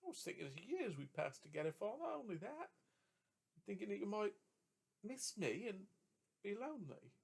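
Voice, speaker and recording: A man voicing a gentle, affectionate young woman, speaking softly and tenderly. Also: sits high, big pitch swings, normal speed, creaky, pauses often, breathy edge, voice pushed up, full character voice.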